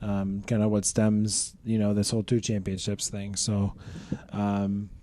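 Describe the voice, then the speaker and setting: Mellow, easygoing male podcast host. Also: lowish voice, a little slow, dry recording. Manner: relaxed, easygoing, with fillers. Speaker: show host. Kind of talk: casual podcast talk.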